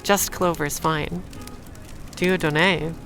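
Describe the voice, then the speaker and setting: A woman's voice: sits low, steady tone, slow, gravelly, fairly bright tone, faint music behind, exaggerated voice. An actress playing a guarded, independent young woman drifter, speaking dryly in a noir audio drama. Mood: guarded, playful. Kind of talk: audio drama acting with noir first-person narration.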